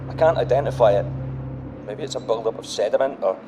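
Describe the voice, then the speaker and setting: A man's voice: mid-range voice, fast talker, background noise, close to an everyday voice. A man playing an awestruck wisecracking explorer. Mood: awed. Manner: breathless wonder, irreverent jokes. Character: awestruck, wisecracking male deep-sea explorer. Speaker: submarine diver McGovern. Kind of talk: audio drama acting.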